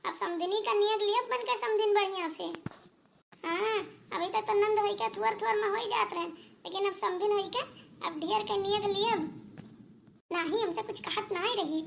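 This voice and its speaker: A woman's voice: very high-pitched, gravelly, a bit bright, a little hiss or hum, full character voice. A woman voicing a fussing, effusive middle-aged mother-in-law with an Awadhi accent in a comedy cartoon.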